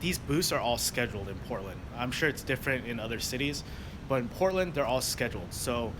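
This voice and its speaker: A young American man, a delivery driver, chatting loosely with livestream viewers, full of fillers and restarts. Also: mid-range voice. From United States. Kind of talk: casual livestream chat answering viewers. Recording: noisy outdoor street background. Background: traffic and street noise.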